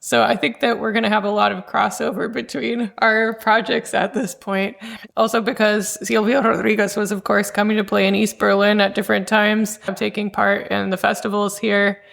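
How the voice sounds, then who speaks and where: A woman's voice: medium pitch, level tone, normal speed, creaky, fairly bright tone. A woman co-hosting a conversational interview as a researcher, speaking informally. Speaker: podcast co-host and researcher.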